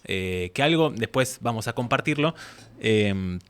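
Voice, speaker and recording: Argentine male host, mid-pitched, for a loose, chatty interview with rambling, informal delivery. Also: animated, measured pace, a bit bright, smooth. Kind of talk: casual conversational interview.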